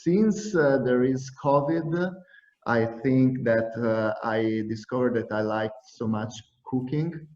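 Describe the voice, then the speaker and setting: A man in his thirties from Italy introducing himself in an online meeting, slow and hesitant. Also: mid-range voice, a little mellow. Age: 30s. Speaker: meeting participant. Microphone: video call. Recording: dull echoey video call audio.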